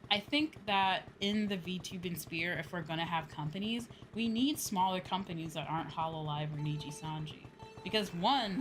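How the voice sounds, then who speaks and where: A woman chatting casually on a livestream as a VTuber, giving opinions with frequent tag questions and some swearing. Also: on the low side. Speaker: VTuber streamer. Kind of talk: casual livestream chat while gaming. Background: video game music.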